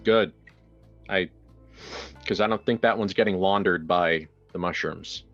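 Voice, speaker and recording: A man playing a weary, sardonic, not-quite-human man with a dry deadpan delivery in a horror roleplaying game. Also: deep voice, a little slow, muffled recording, faint music behind, pitched below the performer's own voice. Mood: weary sarcasm.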